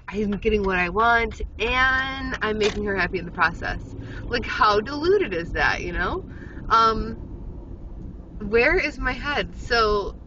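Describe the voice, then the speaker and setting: A woman with a sniffly head cold, talking in a rambling, candid video diary full of self-corrections. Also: mid-range voice. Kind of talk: casual confessional video diary. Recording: dull lo-fi audio with steady background noise.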